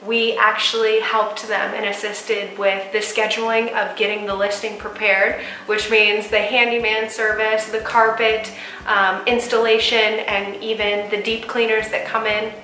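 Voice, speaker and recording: A woman's voice: medium pitch, flat delivery, measured pace, pushed and bright, low-quality recording. An American woman in her thirties, a real estate agent, chatting enthusiastically to camera in a casual house-tour style. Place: inside a furnished townhouse.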